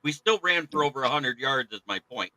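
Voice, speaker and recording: A man's voice: medium pitch, expressive, brisk, sharp tone, no room sound, poor audio. A man hosting a casual sports talk show, chatty and laughing often.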